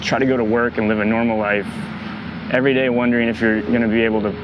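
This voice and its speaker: A man reflecting in an interview, speaking hesitantly with many pauses and restarts. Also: mid-range voice, flat delivery, lo-fi sound, background noise.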